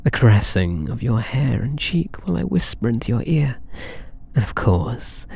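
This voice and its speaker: A man's voice: medium pitch, sing-song, vocal fry, darkish tone, husky, exaggerated voice. A British man playing a possessive, flirtatious alien catboy thief in an intimate ASMR roleplay, teasing and doting. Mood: seductive, tender. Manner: teasing, doting, purring endearments, unhurried.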